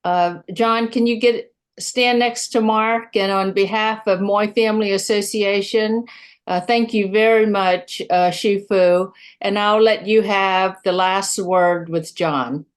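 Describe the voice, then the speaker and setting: A woman's voice: medium pitch, fairly even intonation, slow pace, clear, resonant tone. A woman with a mid-range voice moderates a seminar. She asks her questions casually, stumbling a little as she starts. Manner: conversational, hesitant starts.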